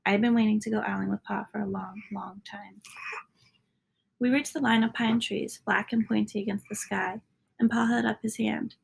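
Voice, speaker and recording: Woman with a creaky, husky storytelling voice. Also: medium pitch, fairly even intonation, moderate pace, rough recording. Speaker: storybook reader. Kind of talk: read-aloud children's story narration.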